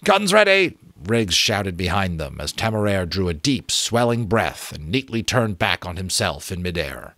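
Deep-voiced man reading a story dramatically. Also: expressive, normal speed, few pauses, fairly bright tone, no room sound. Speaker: audiobook reader. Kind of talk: dramatic reading of an adventure novel. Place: small home room with bookshelves. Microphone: close desk microphone.

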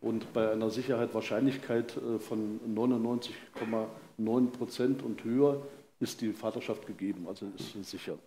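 A man's voice: lowish voice, fairly even intonation, normal speed, dark tone. An older man explaining forensic procedure as an expert guest, matter-of-fact and precise. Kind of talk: expert explanation in live talk. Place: on stage in a vaulted hall with audience. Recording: roomy live stage sound, faint noise.

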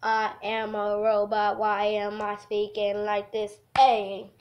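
A woman livestreaming a visual novel, reading the text aloud haltingly and adding goofy, casual asides. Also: mid-range voice, completely flat delivery, darkish tone, slight background noise.

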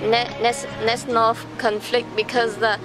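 A woman's voice: a little high, flat delivery, unhurried, poor audio. A young woman from Vietnam giving interview answers as a volunteer, speaking simple English with small slips and hesitations. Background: music.

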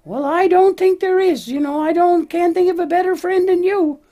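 Squeaky-high excitable little lamb. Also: female voice, normal speed. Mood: curious, thankful. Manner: earnest, emphatic, childlike. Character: excitable little lamb, easily upset, devoted to friend. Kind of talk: children's puppet show dialogue.

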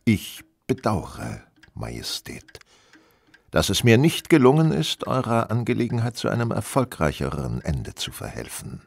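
An actor playing a cool, courteous, brooding master detective in a German audio drama, formal and reserved. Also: male voice, on the low side, huge pitch swings, measured pace, gravelly, dry recording, exaggerated voice. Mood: dry, regretful.

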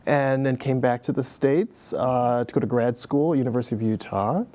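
A man's voice: medium pitch, moderate pace, mellow. A middle-aged man, a dance director interviewed as a guest in a TV studio, telling reflective stories with many fillers and easy laughter. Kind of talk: conversational interview. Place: TV studio set.

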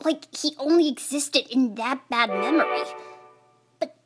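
A woman's voice: high voice, unhurried, over loud music. A woman playing a small, snappish, guilt-haunted talking flower in a comic dub, speaking hesitantly and irritably. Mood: uneasy. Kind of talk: dramatic comic dub acting.

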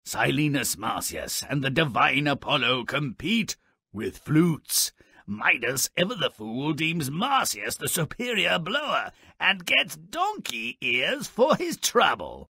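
An actor voicing a spiteful, mischievous gnome-like creature who narrates a video game tale with sardonic, theatrical relish in a gravelly, raspy voice. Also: male voice, a little high, bright, forceful tone, no echo, clean studio sound, exaggerated voice. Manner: sardonic, exaggerated, relishing malice.

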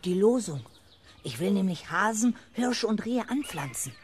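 An actor playing an earnest, precocious young schoolboy in a 1970s children's radio play, matter-of-fact and self-assured. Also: male voice, high-pitched, sing-song, unhurried. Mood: polite, earnest. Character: earnest, inventive young schoolboy with big plans.

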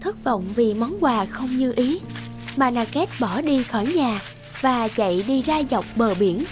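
A woman's voice: a little high, animated, measured pace. Female storyteller, scripted narration, children's fairy tale, music behind.

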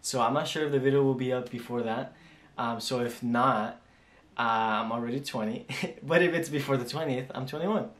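A young man in his twenties talks casually and rambles, with fillers and restarts as he answers viewer questions. His voice sits at an ordinary mid pitch, and the small room around him rings with noticeable echo. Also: brisk. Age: 20s. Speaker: flight attendant vlogger. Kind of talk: casual Q&A chat. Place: small home room indoors.

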